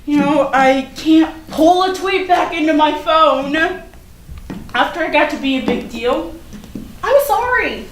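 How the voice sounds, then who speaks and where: Popular high school girl, played by a woman, breezy and self-excusing, high swooping voice, stage reading in an echoey room. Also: lively intonation, a little slow. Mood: defensive, flustered. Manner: chatty, hedging, casual. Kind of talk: staged reading of a play, naturalistic dialogue. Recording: echoey room from far off, noisy.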